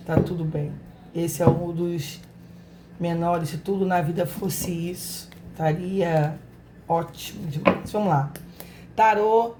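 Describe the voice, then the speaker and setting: A hoarse woman with a Brazilian accent speaks slowly and unhurriedly, her voice sitting a little low. She chats affectionately to her viewers as she runs a spiritual tarot reading. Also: noisy background. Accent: Brazilian Portuguese. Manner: affectionate, chatty, talks straight to viewers. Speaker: tarot reader, channel host. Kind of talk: casual spiritual reading to camera.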